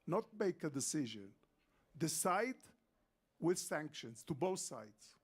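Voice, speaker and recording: A man's voice: a little high, very animated, slow, slightly rough. An older man, speaking emphatically and repeating his points as a panel guest. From Israel. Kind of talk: panel discussion.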